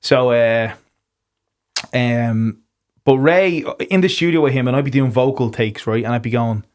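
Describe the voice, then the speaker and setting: An Irish man hosting a podcast, talking in a relaxed, casual way, swearing freely and restarting his sentences. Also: mid-range voice, a little slow. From Ireland. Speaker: podcast host. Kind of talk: casual conversation.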